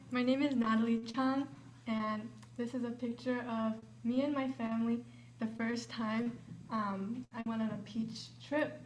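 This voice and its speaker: A woman's voice: fairly high pitch, darkish tone, slight background noise, low-quality recording. A young woman, a volunteer teacher, giving a casual, chatty talk, often saying "like" and "um". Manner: chatty, uses filler like and um. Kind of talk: casual presentation. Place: hotel event room.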